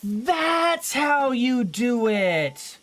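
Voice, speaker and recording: A gaming video host giving casual let's-play commentary, thinking out loud in fragments with playful asides. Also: mid-range voice, sing-song, extremely slow, a little hiss or hum.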